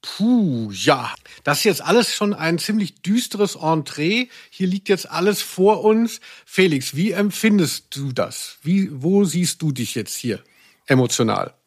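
Man, podcast host, fairly high voice, wordy, mock-serious, humorous chat. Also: expressive, measured pace, breathy edge.

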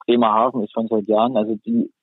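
A man's voice: fairly low pitch, little pitch movement, slightly rough, dry recording. Man on a tinny phone line. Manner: halting, lots of fillers and restarts. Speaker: ice hockey defenceman guest. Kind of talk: casual podcast interview.

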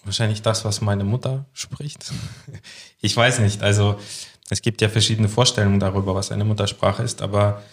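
A man co-hosting a podcast, chatting in a relaxed way and explaining with a few fillers, recorded in a room at a language institute. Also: lowish voice, moderate pace. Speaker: podcast co-host. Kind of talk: casual podcast chat.

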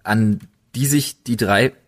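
A man with a fairly low voice co-hosts a casual podcast. He talks colloquially and emphatically, in an enthusiastic storytelling way. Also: slow. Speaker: podcast co-host.

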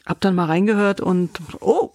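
A woman's voice: mid-range voice, normal speed, a little mellow, no room sound, clean studio sound. A woman co-hosting a podcast, chatting casually in a colloquial, blunt and exclamatory way. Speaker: podcast co-host. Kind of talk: casual chat. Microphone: close studio mic with headset.

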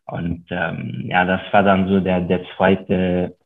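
A man's voice: deep voice, monotone, takes it slowly, gravelly. A man in his thirties from Luxembourg with a French accent, a guest on a podcast interview, talking hesitantly with many ums. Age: 30s. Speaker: guest investor and author. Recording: tinny phone-like line.

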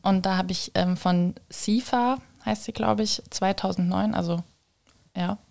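A woman guest chatting casually on a podcast, tentative and halting, letting her sentences trail off. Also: mid-range voice, gravelly, darkish tone. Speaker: podcast guest, communication designer. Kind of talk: casual chat.

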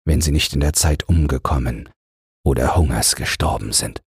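A man's voice: very deep voice, moderate pace, vocal fry, husky, no room sound, studio quality, heavily acted. A man voicing a sorrowful, weary, devoted king in a fairy tale audiobook. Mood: grieving, resolute.

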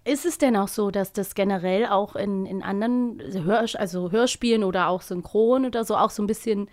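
A woman's voice: medium pitch, brisk, a little mellow. A woman hosting a casual podcast, talking in an enthusiastic, giggly way. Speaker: podcast host.

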